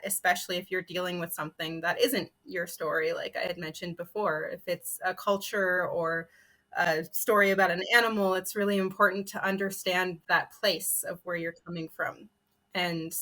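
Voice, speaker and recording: A woman with a slightly low voice chats casually as a storyteller on a panel. Her delivery is conversational, with firm emphasis on her points. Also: bright, forceful tone. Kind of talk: casual panel discussion talk.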